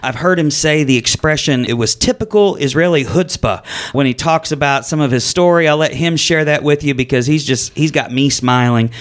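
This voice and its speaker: A man hosting a business radio show from a studio, upbeat and enthusiastic as he welcomes and introduces his guest. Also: mid-range voice. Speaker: radio host. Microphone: studio microphone.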